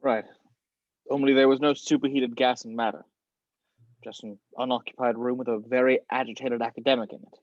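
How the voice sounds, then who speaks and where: A man's voice: medium pitch, flat delivery, brisk, a little mellow, no echo, muffled recording, close to an everyday voice. A man playing a plain-spoken spy in an amateur table read, in an everyday voice. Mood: plain, wry.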